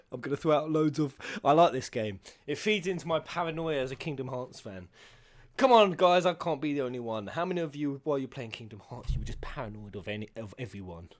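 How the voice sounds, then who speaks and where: Male gamer reactor, very lively and swooping delivery, playful and theatrical, casual asides. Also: mid-range voice, a little fast. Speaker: gamer reacting to video game cutscenes.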